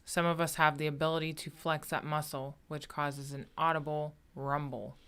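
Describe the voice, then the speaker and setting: Female co-host with a low but bright, crisp voice, reads trivia, giggles. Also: level tone, normal speed. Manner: reads facts aloud, laughs often, swears. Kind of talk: casual live-stream chat.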